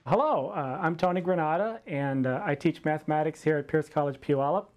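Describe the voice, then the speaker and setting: Man, forties, fairly high voice, casual instructor talk, measured and hesitant. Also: darkish tone, a little hiss or hum. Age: 40s. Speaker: college math instructor. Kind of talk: casual talk to camera about work.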